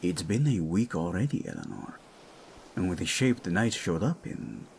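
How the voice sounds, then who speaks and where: A man's voice: sits low, wildly swooping pitch, normal speed, vocal fry, darkish tone, a little hiss or hum, full character voice. A man playing a weary king in an audio drama, dry and sarcastic, with faint ambience behind him. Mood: worried. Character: weary, sardonic king readying to rescue his wife.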